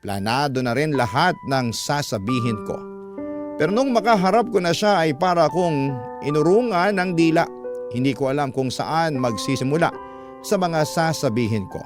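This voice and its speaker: A man's voice: on the high side, expressive, normal speed. A man narrating a scripted first-person story in the style of a radio drama. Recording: music under the narration. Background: background music.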